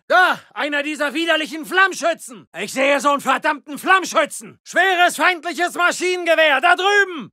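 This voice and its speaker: An actor playing a gruff German elite soldier, barking orders and battlefield callouts. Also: male voice, very high voice, no room sound, studio quality, full character voice. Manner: barked commands, shouted callouts. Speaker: soldier character. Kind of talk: game voice lines.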